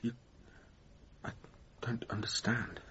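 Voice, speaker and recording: A man acting in a radio drama as a wounded, distrustful hypnotherapist, speaking in a halting, terse, incredulous way. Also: fairly bright tone, lo-fi sound. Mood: shocked, confused. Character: wounded, distrustful male hypnotherapist, betrayed lover.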